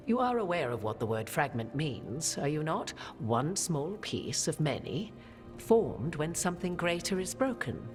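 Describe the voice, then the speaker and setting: An ancient witch of a fantasy game, imperious and cryptic. The voice is low for a woman and swoops expressively through formal, archaic lines. Music plays clearly behind the studio-recorded speech. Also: big pitch swings. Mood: wry, imperious. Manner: formal, archaic, deliberate, theatrical phrasing. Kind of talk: dramatic fantasy game dialogue. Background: background music.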